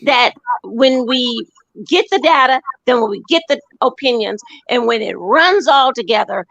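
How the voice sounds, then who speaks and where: Earnest woman caller, gravelly voice, fairly high, dry close sound. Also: animated, a bit bright, dry recording, lo-fi sound. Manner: earnest, measured, with many fillers. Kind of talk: casual chat.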